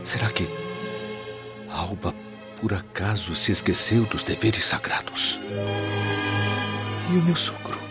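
A man's voice: lowish voice, very animated, takes it slowly, pauses often. An actor playing a troubled, proud Roman nobleman in a radio drama, with formal, theatrical delivery. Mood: anguished. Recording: dull, band-limited radio recording, music bed.

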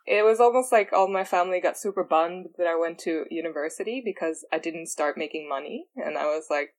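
A woman's voice: on the low side, a little fast. A young woman in casual conversation, making wry remarks with laughing asides. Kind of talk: casual chat.

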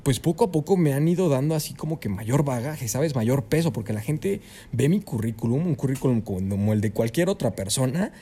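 A young man with a Mexican Spanish accent, a student guest telling his story in a casual podcast interview, animated and peppered with "you know?". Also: mid-range voice, fairly quick, few pauses, husky. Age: young adult. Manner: animated storyteller, leans on "¿sabes?".

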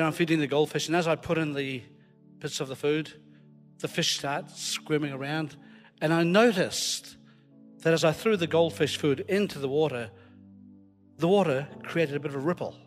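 A Scottish man preaching informally to a congregation, telling stories in a jokey, self-deprecating way. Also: a little high. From Scotland. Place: large hall, live before a congregation. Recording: long hall reverb, live church recording.

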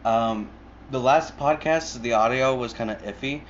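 A man's voice: medium pitch, moderate pace, highs cut off, background noise. A young man hosting his own podcast alone, rambling casually with lots of fillers, restarts and swearing, recorded on an earbud mic. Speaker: podcast host. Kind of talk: casual solo podcast chat.

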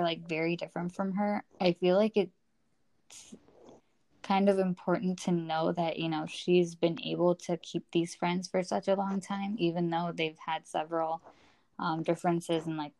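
A woman's voice: fairly low pitch, a little mellow, rough recording. A young woman co-hosting a podcast, chatting casually and a little hesitantly with plenty of "like" and "you know". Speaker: podcast co-host. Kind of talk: casual TV recap discussion.

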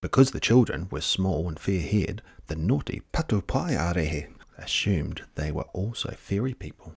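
A man reading a children's picture book expressively, dramatic, with hushed and drawn-out words. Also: deep voice, animated, creaky, breathy edge. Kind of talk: expressive children's story narration.

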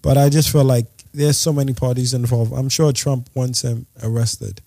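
A man's voice: medium pitch, little pitch movement, moderate pace, gravelly, dark tone, no room sound. A man co-hosting a podcast, chatting casually in a laid-back, slangy, joking way. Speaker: podcast co-host. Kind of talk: casual chat.

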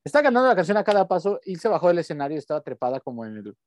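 A man's voice: on the high side, sing-song, very fast, a little mellow, no echo. A young man with a Mexican accent, co-hosting a podcast in slangy, rambling casual chat. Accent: Mexican Spanish. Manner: slangy, rambling, breaks into bits of song. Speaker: podcast co-host.

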